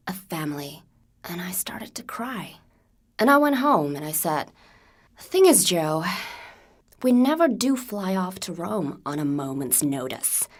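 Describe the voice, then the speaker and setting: A woman playing a wistful, candid American woman in a conversational, confiding dramatic monologue about her own life. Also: medium pitch. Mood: insistent, hurt.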